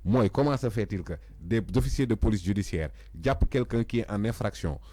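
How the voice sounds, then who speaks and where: A man's voice: on the low side, lively intonation, a little fast, mellow, no echo. A Senegalese man speaking as a guest commentator in a political discussion, emphatic and insistently repeating his phrases.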